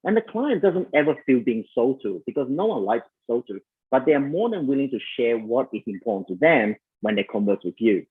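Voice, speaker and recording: A man's voice: medium pitch, very animated, a little fast, breathy edge, phone-quality sound. A man with a Malaysian accent giving a conversational sales coaching talk at an online summit, full of fillers and talking straight to his listeners. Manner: conversational, many fillers, addresses listeners as "you guys".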